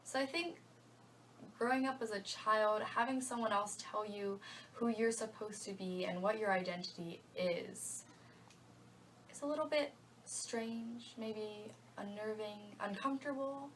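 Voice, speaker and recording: A woman's voice: medium pitch, measured pace, a bit bright, distant mic, a little hiss or hum. Young woman answering thoughtfully. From United States (Georgia). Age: young adult. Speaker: interviewee, college freshman. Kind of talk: casual interview answers.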